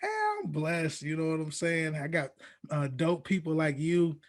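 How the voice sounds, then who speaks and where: A man with a notably high voice hosts a podcast. He talks casually and upbeat, using plenty of slang as he chats like an interviewer. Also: little pitch movement, normal speed. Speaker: podcast host.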